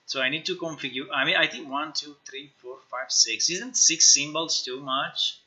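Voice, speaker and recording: A man's voice: a little high, normal speed, sharp tone, highs cut off. A man who is a non-native English speaker, thinking aloud casually on a live stream, repeating himself and swearing as he works. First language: not English. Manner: muttering to himself, repetitive, sweary. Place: indoors at a computer.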